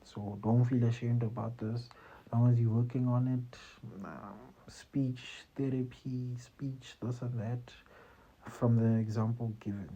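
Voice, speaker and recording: Dark, husky-voiced man giving career advice. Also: medium pitch, little pitch movement, measured pace, dull audio. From South Africa. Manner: conversational, repeats phrases, tags lines with "you see" and "man". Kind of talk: casual talk-to-camera advice.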